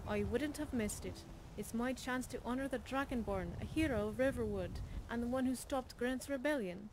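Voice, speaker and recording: A woman's voice: fairly high pitch, moderate pace, background noise, natural voice. A woman playing a tough, blunt, no-nonsense blacksmith in a fantasy video game. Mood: proud, celebratory. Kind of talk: video game character dialogue.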